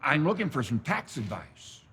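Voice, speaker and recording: A man voicing an eager older doctor, very husky, higher lively pitch, reverberant room. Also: moderate pace, full character voice. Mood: earnest. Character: nervous older male dermatologist seeking tax tricks.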